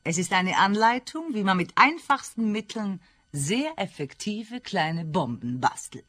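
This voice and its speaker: An actress in a radio play playing a triumphant snooping woman, speaking in a deliberate, teasing way. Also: medium pitch, sing-song, fairly bright tone. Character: snooping, triumphant woman helping a detective with his case.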